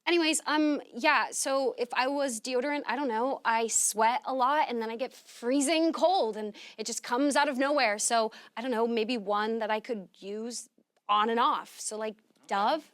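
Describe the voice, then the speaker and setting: A woman doing a flustered, rambling contestant who keeps complaining about being hot. Her voice sits a little high and is recorded dry and close. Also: normal speed, fairly bright tone, dry recording, close to an everyday voice. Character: sweaty, flustered middle-aged woman contestant.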